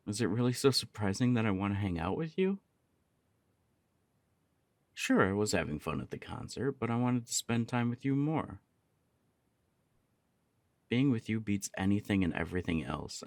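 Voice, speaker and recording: A man's voice: lowish voice, vocal fry, dry recording. A young man playing an easygoing, affectionate punk roommate in an intimate ASMR roleplay, chatting casually and teasingly. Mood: defensive, earnest. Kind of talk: intimate ASMR roleplay, one side of a conversation.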